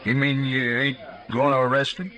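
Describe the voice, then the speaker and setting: An American actor playing a hot-headed, grudging rancher in a 1950s radio western, speaking in a blunt rustic way. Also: male voice, medium pitch, flat delivery, moderate pace, sharp tone, natural voice, poor audio. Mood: aggrieved, threatening.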